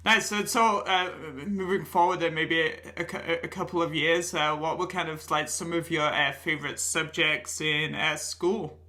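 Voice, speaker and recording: A man's voice: fairly high pitch, steady tone, sharp tone. A man hosting an interview podcast, asking questions with frequent "uh" fillers between phrases. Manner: fills pauses with frequent "uh"s. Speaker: podcast host.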